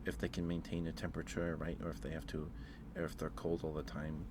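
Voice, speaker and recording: Low-pitched man, soft-spoken ASMR streamer. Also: monotone, quick pace, noisy background. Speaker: live streamer and host. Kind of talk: casual ASMR livestream chat.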